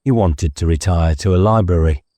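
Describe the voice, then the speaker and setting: A male narrator reads a novel in a dramatic, scripted style. His voice is low and dark, and the recording is close and very dry. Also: fairly quick, no echo. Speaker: audiobook narrator.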